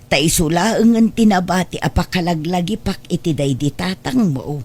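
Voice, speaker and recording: A woman playing a humble, hardworking elderly mother in an Ilocano radio drama, speaking in a gentle, plaintive, homely way. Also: lowish voice, normal speed.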